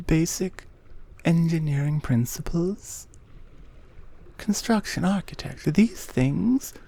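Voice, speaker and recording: A woman playing a regal, patient Amazonian queen, proud and wary of humans, in an intimate ASMR roleplay with measured, breathy half-whispers. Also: fairly low pitch, dark tone, full character voice.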